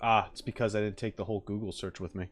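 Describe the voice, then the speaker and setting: A man's voice: lowish voice, little pitch movement, fast, soft background music. A man chatting casually as a streamer, rambling through his opinions in a tentative, hedging way. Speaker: streamer reacting with live chat. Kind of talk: casual rambling livestream chat.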